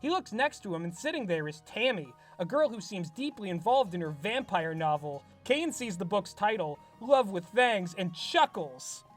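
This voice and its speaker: A man's voice: high voice, sing-song, normal speed, few pauses, faint music behind. A man in his twenties reading from his old script and giving comedic commentary on it in a wry, self-mocking way. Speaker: writer and host.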